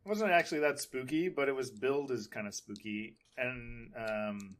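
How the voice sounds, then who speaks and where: A man's voice: medium pitch, normal speed, a bit bright. A man hosting a podcast, chatting casually about film and TV in a rambling, hesitant way. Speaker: podcast host.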